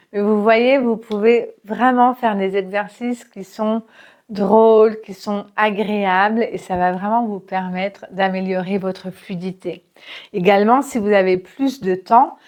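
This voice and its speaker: Slow, patient teacher woman. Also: mid-range voice. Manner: slow, patient, with frequent hesitations and fillers. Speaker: French teacher. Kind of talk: relaxed spoken lesson for learners.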